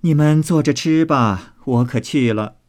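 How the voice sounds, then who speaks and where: A man voicing a brisk, bossy, teasing young lady of the house in a storybook, bantering and full of exclamations. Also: medium pitch, expressive, a little mellow, husky.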